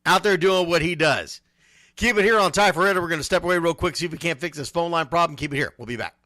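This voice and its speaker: A man's voice: on the high side, fast talker, pushed and bright, no room sound. A man hosting a casual sports talk radio show, speaking emphatically and repeating phrases to drive points home.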